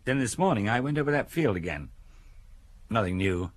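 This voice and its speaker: An actor playing a police subordinate giving plain, businesslike reports in an audio drama. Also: male voice, lowish voice, expressive, moderate pace. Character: matter-of-fact male police subordinate reporting.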